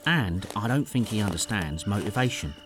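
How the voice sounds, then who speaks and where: An actor playing a cheeky, bickering Cockney henchman in an audio play, mock-polite in rough grammar. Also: lowish voice, animated, moderate pace, over music, close to an everyday voice. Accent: Cockney English.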